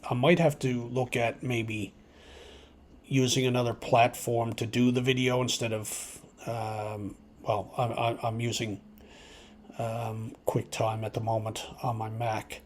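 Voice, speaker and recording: A man hosting a hobby radio video, explaining informally and unscripted in a hesitant, halting way, as if thinking aloud. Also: mid-range voice, level tone, a little hiss or hum. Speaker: amateur radio video host.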